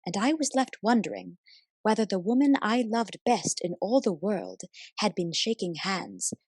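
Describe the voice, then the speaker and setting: An actress playing a devoted female assistant who narrates a detective radio play in an expressive, literary way. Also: medium pitch, moderate pace, breathy edge, no room sound, clean studio sound. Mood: wondering, devoted. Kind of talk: dramatic first-person radio play narration.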